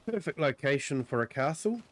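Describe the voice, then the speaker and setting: A man with a New Zealand accent and a croaky voice from a cold, giving easygoing livestream commentary on a farming game, full of ums and uhs. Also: fairly high pitch, very animated, brisk, fairly bright tone. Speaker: streamer. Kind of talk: relaxed livestream gameplay commentary.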